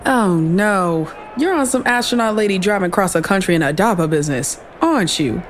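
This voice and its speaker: Actress as a sardonic, lively security woman. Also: mid-range voice, brisk, faint music behind, lightly acted. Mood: suspicious, dry. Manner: sardonic, blunt. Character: unimpressed, sarcastic female stadium security agent. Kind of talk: romantic comedy audio drama acting.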